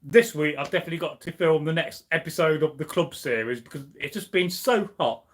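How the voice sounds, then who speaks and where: A man's voice: a little high, a little fast. A young man from the UK chatting casually on a live stream from home, rambling with many fillers and restarts. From United Kingdom. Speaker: history channel host. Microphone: camera on a tripod.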